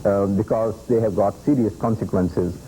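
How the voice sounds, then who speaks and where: A man delivers a thoughtful statement, pausing and filling gaps with "uh" as he chooses his words. His voice is low and very dark and warm in tone, with faint hiss behind it. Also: low-quality recording. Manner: hesitant, many filler sounds. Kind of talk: spoken statement.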